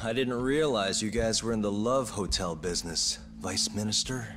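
A man's voice: fairly low pitch, moderate pace, close to an everyday voice. A man playing a defiant ex-lawyer detective in a video game drama, with a laid-back, sardonic, probing manner. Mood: cocky, sardonic. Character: cocky, defiant male ex-lawyer turned detective. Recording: music under the dialogue.

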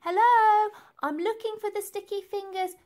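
A woman voicing a plucky young girl in a storybook, calling out loudly and urgently. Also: fairly even intonation, moderate pace. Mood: calling out, searching. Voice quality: high-pitched, loud.

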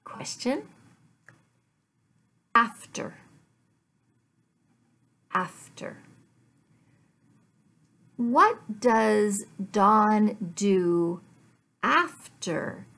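Very slow-speaking woman teacher with creaky voice. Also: medium pitch, a bit bright. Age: middle-aged. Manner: deliberate, enunciating word by word in short phrases with pauses. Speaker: English teacher. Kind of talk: slow language lesson for learners. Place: small living room at home, indoors.

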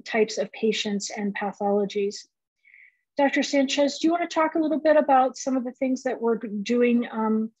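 A woman's voice: fairly high pitch, a little mellow, no room sound, muffled recording. Woman presenting slides over a video call. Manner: many fillers, explanatory. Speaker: residency program director. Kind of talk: presenting slides in a virtual open house.

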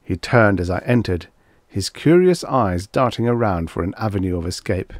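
Low, expressive male audiobook narrator. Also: moderate pace, no room sound, clean studio sound. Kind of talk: scripted story narration.

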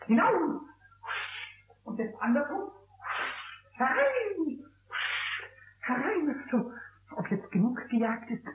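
A man voicing a small, cheeky, stubborn kobold in a 1960s radio play, squeaky and childlike, stammering and repeating words. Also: very animated, takes it slowly, pauses often, slight background noise, heavily acted. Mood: busy, self-talking. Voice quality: squeaky, high. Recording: tinny lo-fi old radio recording.

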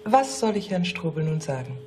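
An actress playing a middle-aged police secretary in a radio play, unflappable, polite and drily pointed. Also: female voice, low-pitched, sing-song, normal speed, a little mellow, a little hiss or hum. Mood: dry, pointed. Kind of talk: German radio play acting.

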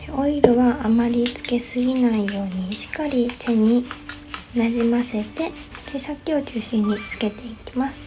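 Gentle young woman guiding a hair tutorial. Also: on the high side, normal speed, background noise. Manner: gentle step-by-step instruction. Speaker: hairstylist. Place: small bright studio indoors.

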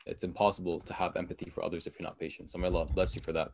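A man gives earnest advice in a quick, low voice. He talks fast in a casual chat. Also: little pitch movement, gravelly, a little mellow.

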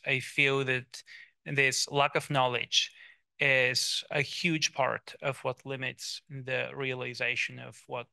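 A man in his forties speaks on a conference panel through a handheld mic, in a very bright, smooth and crisp voice with a gravelly undertone. His delivery is measured and deliberate, and the sound is dry and close. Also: mid-range voice, no echo. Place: conference stage, large hall.

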